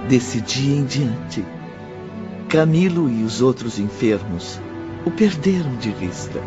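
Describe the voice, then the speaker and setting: Male narrator, mid-pitched, lively and dramatic intonation, scripted radio play over prominent music. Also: slow pace, lo-fi sound.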